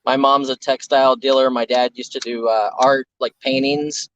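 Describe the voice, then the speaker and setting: A man's voice: medium pitch, level tone, moderate pace, a bit bright, dull audio. A man in his forties, a dealer guest telling rambling stories in a casual interview. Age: 40s. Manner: rambling, anecdotal storyteller. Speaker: guest, antiques and ephemera dealer.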